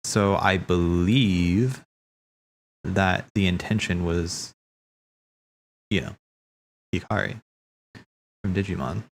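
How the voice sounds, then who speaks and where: A man's voice: sits low, takes it slowly, creaky, long pauses, a little hiss or hum. A man hosting a livestream, talking in a casual, slangy, rambling way as he thinks aloud. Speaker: livestream host. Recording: background music.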